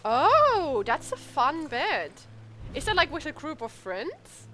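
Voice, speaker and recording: A woman's voice: sits high, wildly swooping pitch, slow pace. A woman streaming a game, chatting casually and reacting in an informal, emotive way. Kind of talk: casual chat and reactions during gameplay. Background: game music and dialogue.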